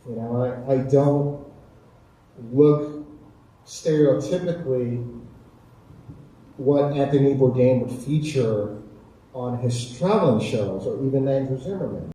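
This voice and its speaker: A man with an American accent speaking reflectively on a panel as a chef, earnest and deliberate, repeating phrases for emphasis. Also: medium pitch, mellow. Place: echoey indoor community event space. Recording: echoey hall, distant mic, faint noise.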